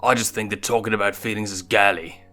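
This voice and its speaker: A man's voice: fairly low pitch, fairly even intonation, fast talker, bright, forceful tone, faint music behind. A man voicing a surly, defensive young male lion in a story reading, blunt, crude and grumbling.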